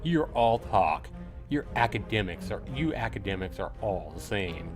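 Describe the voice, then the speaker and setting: A man plays an arrogant young nobleman from a visual novel. He taunts and sneers in a loud, low-pitched voice, goading a rival to fight. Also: big pitch swings, normal speed, over music. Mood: sneering, challenging. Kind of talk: visual novel character read aloud.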